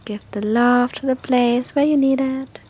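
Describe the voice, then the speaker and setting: Soft, breathy, high-voiced woman guiding meditation. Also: fairly even intonation. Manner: soft, breathy, unhurried with long pauses. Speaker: meditation guide. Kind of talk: guided meditation, gentle instructions.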